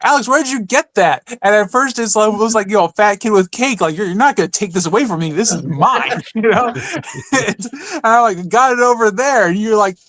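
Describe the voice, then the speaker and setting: A man's voice: high voice, brisk, a bit bright, no room sound. A man co-hosting a podcast, telling stories in a casual, comic way. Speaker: podcast co-host.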